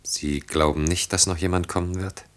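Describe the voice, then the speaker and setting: Very deep-voiced earnest man acted for radio. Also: fairly even intonation, moderate pace, natural voice. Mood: gentle, wistful. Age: 30s. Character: earnest, self-reproaching man of 32 in love. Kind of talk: German radio play acting.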